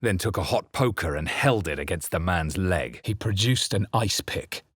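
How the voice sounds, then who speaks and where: Very deep, husky brooding adventurer. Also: male voice, expressive, moderate pace, a bit bright, dry recording, studio quality, heavily acted. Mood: grave, ominous. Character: grave, brooding male adventurer hero of a fantasy game. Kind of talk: dramatic scripted fantasy game narration and character lines.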